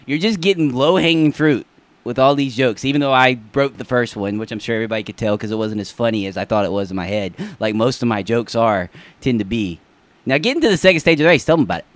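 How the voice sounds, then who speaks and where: A man's voice: medium pitch, fast talker, dry recording. A young man co-hosting a casual sports podcast, rambling and joking, laughing often. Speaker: podcast co-host.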